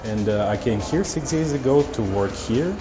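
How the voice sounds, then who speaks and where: A man from Moscow with a Russian accent, answering interview questions as a doctor in halting, simple non-native phrasing. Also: medium pitch, lively intonation. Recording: roomy echo with music underneath.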